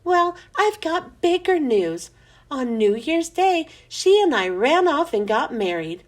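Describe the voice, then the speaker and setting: A woman's voice: high-pitched, big pitch swings, slow, full character voice. A woman voicing a friendly young male janitor, casual and earnest, in a children's storybook reading. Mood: chummy, earnest. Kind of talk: children's storybook read-aloud.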